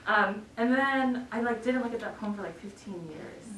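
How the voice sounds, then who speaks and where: A woman's voice: a little high, normal speed, a bit bright. A woman poet talking casually to introduce her reading, informal, chatty and self-deprecating. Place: bookshop reading event. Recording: echoey room, distant mic, noisy.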